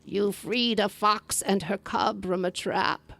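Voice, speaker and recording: Folktale crone acted by a female storyteller: mysterious, kindly, slow foreboding delivery, dry sound. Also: mid-range voice, fairly even intonation, vocal fry, a bit bright, dry recording. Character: mysterious kindly old woman giving warnings.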